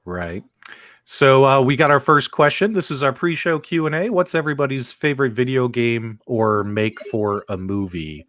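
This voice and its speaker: A man's voice: mid-range voice, animated, normal speed, a bit bright, dry recording, clean studio sound. A man hosting a casual live talk show about video game movies, chatty and laughing, with frequent fillers. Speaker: host. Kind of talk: casual livestream chat.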